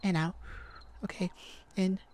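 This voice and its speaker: A woman playing an excitable, affectionate mage sweetheart in a romantic ASMR roleplay, with a gushing, easily flustered, rambling manner. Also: on the low side, measured pace, close to an everyday voice.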